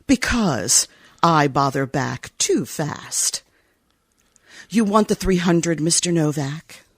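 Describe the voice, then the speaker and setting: Woman playing a husky, cool femme fatale. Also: low-pitched, lively intonation, slow pace, dry recording, clean studio sound, full character voice. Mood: firm, commanding. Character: cool, sultry, tough femme fatale client. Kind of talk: old-time radio detective acting.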